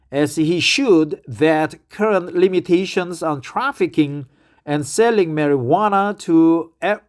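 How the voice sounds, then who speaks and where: Slow, halting man with a Korean accent. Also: on the high side, darkish tone. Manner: halting, repeats phrases to practice them. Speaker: English learner. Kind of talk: reading news aloud and commenting.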